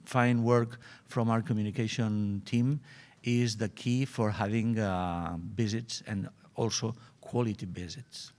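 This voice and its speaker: A man speaking as a university panelist at a conference, halting and searching for words. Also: fairly low pitch, steady tone, a little mellow. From Spain. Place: conference hall.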